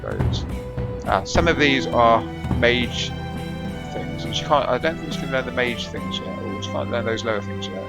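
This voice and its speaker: A man's voice: mid-range voice, sing-song, moderate pace, gravelly. A man giving casual gameplay commentary as a let's play host, thinking aloud. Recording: loud game music behind voice. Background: game music and effects.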